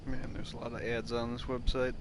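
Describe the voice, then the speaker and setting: A man's voice: mid-range voice, flat delivery, a little fast, background noise. A man co-hosting a gaming show, giving casual, wry, teasing commentary on a game.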